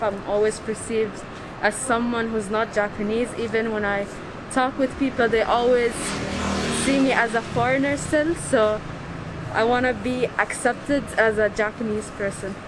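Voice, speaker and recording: A young woman answering interview questions in a thoughtful, measured way. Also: on the high side, fairly even intonation. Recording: clear background noise.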